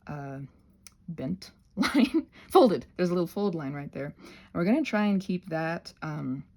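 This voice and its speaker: A woman's voice: lowish voice, expressive, measured pace, a little mellow. A woman casually hosting a craft demonstration, talking in a hesitant, meandering way with self-deprecating asides. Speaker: craft channel host.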